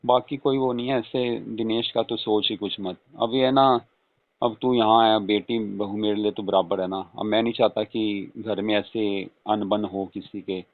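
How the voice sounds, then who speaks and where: A man's voice: fairly low pitch, fairly even intonation, a little slow, no room sound. A man playing a flirtatious, coaxing father-in-law in a casual, intimate phone-call role-play, murmuring and adding questioning hums. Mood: persuasive. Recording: tinny phone-line call recording.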